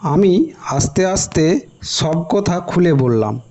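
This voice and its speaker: Horror cartoon narrator: male, fairly high pitch, soft dark timbre, first-person storytelling over music. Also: animated, slow pace. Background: background music.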